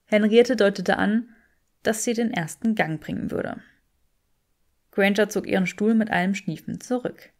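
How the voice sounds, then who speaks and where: A woman's voice: mid-range voice, measured pace, darkish tone. A woman reading fiction aloud as an audiobook narrator.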